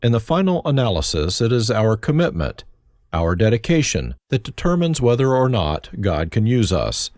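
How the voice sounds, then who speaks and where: A man reads his own life story, his low voice rising and falling with a lot of movement. His humor is dry and self-mocking. The sound is dry and close, with no room around it. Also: very animated, normal speed, a little mellow, no echo. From Germany. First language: German. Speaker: autobiography narrator. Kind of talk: reflective first-person memoir reading.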